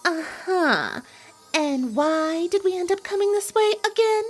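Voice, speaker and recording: A woman's voice: very high-pitched, lively intonation, unhurried, faint music behind. A woman voicing a sassy, theatrical yet affectionate female pony magician with a wry drawl, in a dramatised fan fiction story. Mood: exasperated.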